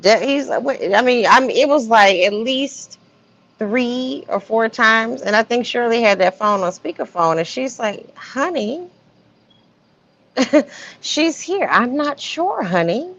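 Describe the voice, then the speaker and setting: A woman's voice: a little high, expressive, slow pace, a bit bright. A woman gossiping casually on a livestream in a sassy, colloquial way, with plenty of rhetorical questions. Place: remote online livestream. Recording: dull lo-fi livestream audio.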